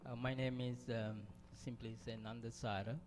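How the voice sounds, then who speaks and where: A man's voice: medium pitch, level tone, moderate pace, noisy background. A man from Sri Lanka giving a conference presentation, hesitant, with many fillers and restarts. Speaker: conference speaker.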